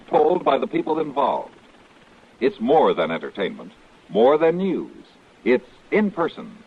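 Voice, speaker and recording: A man's voice: mid-range voice, breathy edge. A man announcing a scripted network promo in polished, emphatic 1950s radio style. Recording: old radio, narrow phone-like band.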